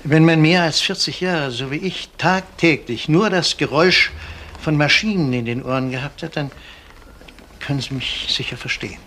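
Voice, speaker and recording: An actor playing a courteous, hesitant elderly pensioner with a formal way of talking in a German crime radio play. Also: male voice, a little high, moderate pace, sharp tone, slight background noise. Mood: earnest, hesitant. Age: 60s or older. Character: polite, fussy old male pensioner and hobby photographer.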